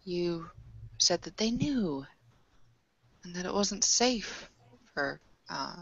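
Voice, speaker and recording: A woman with a slightly low voice talks through a tabletop game, questioning and piecing things together as she goes. The sound is very dry and a bit dull. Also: animated, takes it slowly, gravelly, breathy edge, no room sound. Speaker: role-playing game player. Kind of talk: casual improvised game talk.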